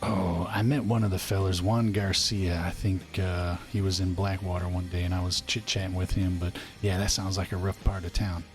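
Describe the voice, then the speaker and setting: A man's voice: deep voice, flat delivery, moderate pace, hardly any pauses, faint music behind. A man streaming a western roleplay game, talking in a folksy, chatty way and joking about himself with viewers. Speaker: streamer playing an old cowboy character.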